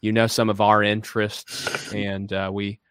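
A man's voice: lowish voice, level tone, unhurried, a bit bright, clear, resonant tone, no echo. A man hosting a podcast interview, welcoming his guest in a friendly, formal way with many fillers. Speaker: podcast host.

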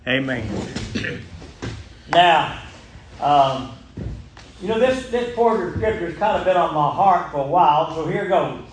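A man's voice: on the high side, animated, a little slow, long pauses, slight background noise. A man, preaching a folksy sermon and calling out for amens. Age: 70s. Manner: folksy, emphatic, calls for amens. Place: church sanctuary. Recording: very echoey church room.

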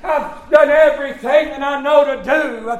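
A man's voice: very high-pitched, normal speed. A male preacher delivering an impassioned revivalist sermon, emphatic, stretching out words and punctuating phrases with "uh". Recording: echoey, roomy live sound.

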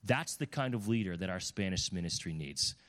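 A man's voice: sits low, expressive, fairly quick. A man, a pastor, introducing a guest preacher to the congregation with praise. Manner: warm praise, rising to an enthusiastic introduction. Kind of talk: church introduction.

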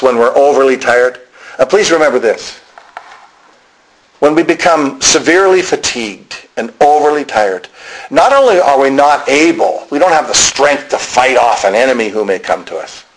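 A man's voice: medium pitch, fairly bright tone, poor audio. A man preaching a sermon, explaining to listeners directly and repeating key phrases for emphasis.

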